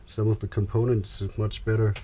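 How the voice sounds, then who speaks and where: Low-voiced Danish man, casual and noisy recording. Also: flat delivery, normal speed, mellow. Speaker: boat owner and vlogger. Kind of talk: casual DIY vlog narration. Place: small boat cabin. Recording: clear background noise on camera audio.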